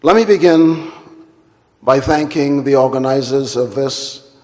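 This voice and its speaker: Slow, formal man in a hall. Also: mid-range voice, darkish tone. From Guyana. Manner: measured, deliberate and formal, with long pauses. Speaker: conference speaker. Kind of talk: formal plenary address. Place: large conference hall. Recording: hall reverb.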